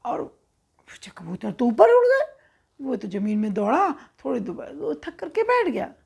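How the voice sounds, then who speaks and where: Lady telling kids a story, high creaky voice, chatty, explains stuff and checks in. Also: sing-song, moderate pace, a little mellow. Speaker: children's storyteller. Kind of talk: animated conversational storytelling for children.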